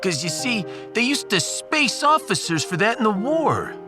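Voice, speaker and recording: Gruff New working guy, very animated. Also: high-pitched, moderate pace. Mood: hurt, earnest. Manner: folksy, street-tough, calls people buddy. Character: gruff, wounded blue-collar New Jersey man, ship's welder. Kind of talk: sci-fi audiobook character acting. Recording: music behind the voice.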